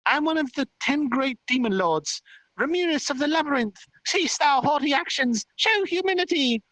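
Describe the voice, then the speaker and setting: A man's voice: very high-pitched, normal speed, dry recording, voice pushed up, full character voice, low-quality recording. A man voicing a tiny, childish fairy girl from a storybook, haughty, boastful and indignant. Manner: indignant, boastful, babbling excitedly. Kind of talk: hobby light-novel read-aloud character voice.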